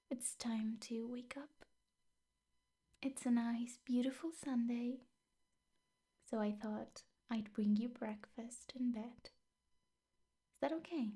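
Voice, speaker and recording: Breathy, slow-spoken possessive girlfriend played by a woman. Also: a little high. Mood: sweet, doting. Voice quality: soft, breathy, often whispering. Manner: slow, doting, coaxing with hesitant pauses. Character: possessive, lovesick yandere woman teacher coaxing her captive. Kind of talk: soft ASMR girlfriend roleplay.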